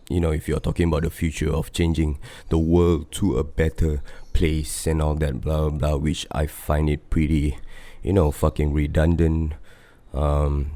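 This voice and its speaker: A man's voice: rumbling low, warm tone, no echo. A man in his thirties with a Singaporean accent, talking in a rambling, laid-back solo podcast monologue full of fillers and swearing. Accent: Singaporean English. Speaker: podcast host and band vocalist.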